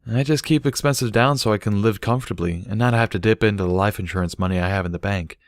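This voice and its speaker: A man's voice: lowish voice, fast, dry recording, studio quality, natural voice. A man voicing a cheerful, playful and chatty adult female pony in a storybook reading. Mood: practical.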